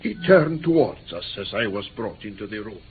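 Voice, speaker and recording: Courteous, easily flustered gentleman interpreter, very animated intonation, vintage radio drama, tinny recording. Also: on the low side, normal speed. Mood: grave recounting. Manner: formal, earnest, flustered when alarmed. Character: courteous, excitable Greek male interpreter, easily indignant. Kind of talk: mid-20th-century British radio play acting. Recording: tinny, slightly noisy old radio recording.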